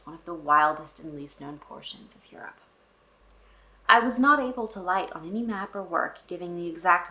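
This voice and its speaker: A woman's voice: mid-range voice, a bit bright, poor audio. A woman reading a classic novel aloud and explaining it, in a casual manner with wry asides to the characters. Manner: frequent fillers and restarts, thinking aloud. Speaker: book channel host.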